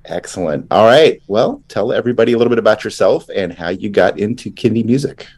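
Male podcast host, low and lively voice, announcer-ish, faint music behind. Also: fast. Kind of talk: scripted intro and interview questions.

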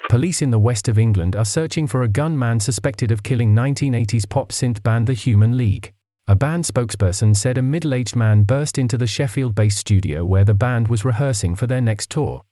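A man playing a sober radio newsreader, delivering a scripted bulletin in a formal, measured way. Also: mid-range voice, warm tone, dry recording, clean studio sound, natural voice. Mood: serious.

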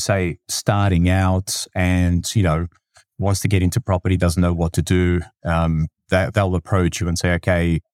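A man's voice: low voice, darkish tone, no echo, clean studio sound. A man hosting a podcast, asking hesitant questions with fillers. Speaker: podcast host. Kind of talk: interview questions.

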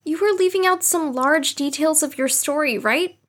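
A woman's voice: high-pitched, moderate pace, a bit bright. A woman playing an earnest, nosy young woman, a little defensive, in an audio drama. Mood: probing, friendly.

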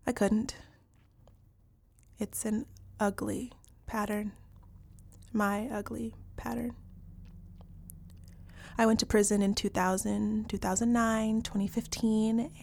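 An actress playing a lonely, ashamed repeat inmate in a hushed, breathy confessional monologue for an audio play. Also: female voice, medium pitch, slight background noise.

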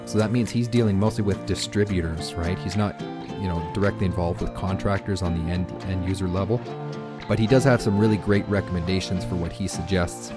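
A man from Canada hosting a podcast in casual, upbeat chat, his voice hoarse and scratchy from dust. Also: low-pitched, fairly even intonation, brisk, loud music behind the voice. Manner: chatty, upbeat, rambling with restarts. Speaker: podcast host.

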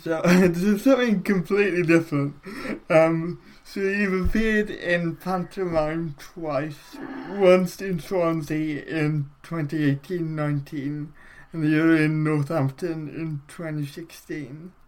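Thoughtful male interviewer, fairly high voice. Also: steady tone, unhurried, low-quality recording. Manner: thoughtful, reflective, warm affirmations. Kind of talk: casual interview.

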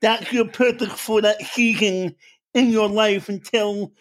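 A man hosting an interview, asking calm, open questions about faith and purpose. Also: high voice, a little slow. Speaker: podcast host.

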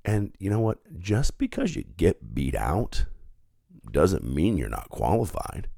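Stuffy-nosed male podcast host, earnest and reflective, very low voice, mellow tone. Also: big pitch swings, a little slow, creaky, no echo, studio quality. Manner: earnest, reflective, conversational with filler words. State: stuffed up with a head cold. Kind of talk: solo podcast monologue.

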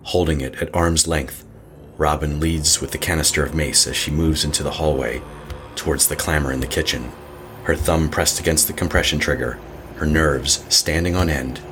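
Very low male voice, horror audio drama narrator, measured, suspenseful, music underneath. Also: fairly even intonation. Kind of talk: scripted horror story narration.

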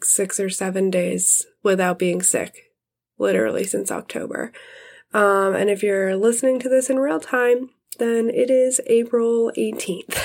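Chatty, congested woman podcast host. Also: mid-range voice. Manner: friendly, chatty. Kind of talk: casual chat.

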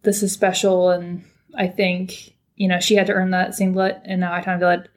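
A young woman from California talks casually as a podcast guest, rambling through her story with lots of fillers and self-corrections. Her voice sits low and stays fairly flat. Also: moderate pace. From California, United States. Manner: chatty, rambling, with many fillers and restarts. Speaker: podcast guest, PhD student. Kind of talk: casual interview chat.

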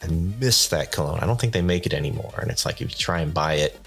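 A tired, stuffy-nosed man talks into the mic for his own podcast, rambling loosely with self-deprecating asides. His voice sits very low, and faint music plays underneath. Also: expressive, quick pace, vocal fry, a bit bright, breathy edge. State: tired and nasally. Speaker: podcast host. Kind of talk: unscripted solo podcast rambling.

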